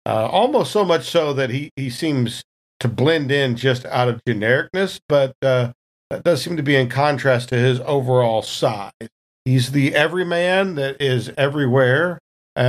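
A man casually narrating his tabletop game character, halting and droll as he goes. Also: mid-range voice.

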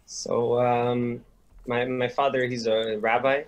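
A young man answering interview questions, halting and repeating words as he gets going. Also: medium pitch, level tone, muffled recording. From Sweden, raised in Montreal. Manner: hesitant, repeats words as he starts. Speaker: guest, rabbinic student.

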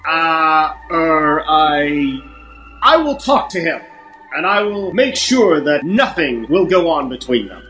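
A man plays a Hollywood agent in an improvised radio comedy, evasive and keen to please. He hedges and repeats himself in a sharp, fairly high voice over faint music. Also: sing-song, slow pace, exaggerated voice. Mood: nervous, evasive. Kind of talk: improvised old-time radio drama parody.

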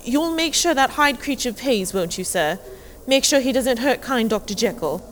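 An actress in an audio drama playing a polite housemaid, wordy, deferential and earnest. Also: female voice, on the high side, animated, moderate pace, slight background noise, lightly acted. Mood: distressed, earnest. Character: polite, chatty housemaid, shaken witness.

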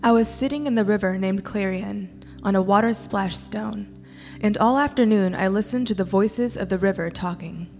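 A woman reciting a poem to a gathering, with deliberate, measured phrasing. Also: medium pitch, a little mellow, faint music behind. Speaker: poetry reader at church. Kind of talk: poetry recitation. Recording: echoey hall.

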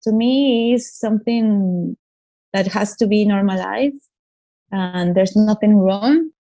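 A woman in her forties from Peru speaks as a podcast guest, blunt and straightforward, often laughing. Her voice is gravelly with a mellow, darker tone. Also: mid-range voice, takes it slowly, lo-fi sound. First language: Italian. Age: 40s. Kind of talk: casual interview chat.

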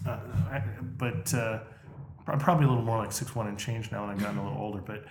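A man's voice: on the low side, echoey room, faint music behind. A man in his forties hosting a podcast, chatting casually and throwing in joking asides. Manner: joking, rambling, quick asides. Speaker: podcast host. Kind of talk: casual comedic chat.

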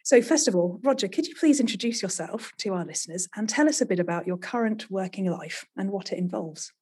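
Podcast host, woman, slightly husky, measured scripted intro delivery. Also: mid-range voice, lively intonation, a little mellow.

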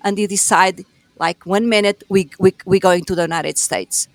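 A woman from Portugal with a Portuguese accent, a lively storyteller telling her story as a podcast guest. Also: mid-range voice, moderate pace, gravelly, no echo. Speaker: guest, store operator. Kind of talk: podcast interview.

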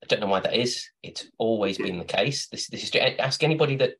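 A man's voice: medium pitch, quick pace, vocal fry, a bit bright, husky, poor audio. A man hosting a podcast, telling rambling, playful stories in casual chat. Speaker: podcast host.

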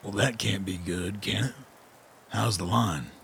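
An actor playing a gruff older army colonel in a radio play, terse and wry, with a gravelly, husky voice. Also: male voice, on the low side, a little slow, a bit bright, slight background noise. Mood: wry, grim. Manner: terse, understated, commanding.

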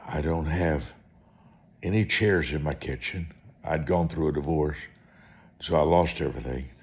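Slow, deep-voiced male preacher. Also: darkish tone. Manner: folksy, deliberate, emphatic, repeating phrases for weight. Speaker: preacher, evangelist. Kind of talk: sermon-like personal testimony.

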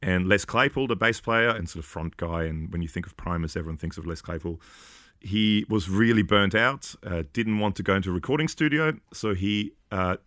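A man's voice: low-pitched, brisk, a bit bright, no echo, studio quality. A man in his forties from Austria, a podcast guest chatting casually and hesitantly, full of fillers and restarts. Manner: hesitant, many fillers and restarts, laughs often. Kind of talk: casual chat.

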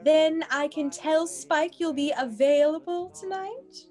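Very high, theatrical prim matron played by a woman. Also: slow pace, darkish tone. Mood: coaxing. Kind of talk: comedic radio play acting.

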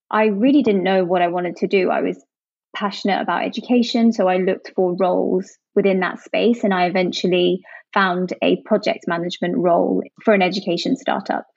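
A woman's voice: on the low side, steady tone, normal speed, vocal fry, a little mellow, dry recording. A young woman from Jersey, a product manager and interview guest, answering upbeat and chatty with lots of "actually" and "you know". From Jersey, Channel Islands. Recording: dull, dry sound.

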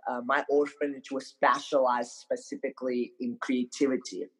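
Eastern European man telling his story haltingly. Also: mid-range voice, little pitch movement, breathy edge, rough recording. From Ukraine, born in Moldova. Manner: halting, with frequent hesitations and repeated words. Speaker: podcast guest. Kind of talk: casual personal storytelling in an interview.

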